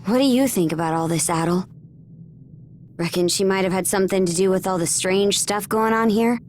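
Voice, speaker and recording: An actress playing a brash, loyal young warrior princess in a video game, with a casual, blunt, commanding manner. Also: female voice, medium pitch, brisk, a little mellow, a little hiss or hum, lightly acted. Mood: thoughtful, casual. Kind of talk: English video game voice acting.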